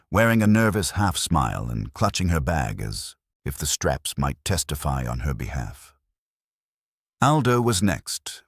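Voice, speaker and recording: A man narrating a detective mystery as a scripted audiobook, in a measured, literary delivery. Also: very deep voice, dry recording, clean studio sound.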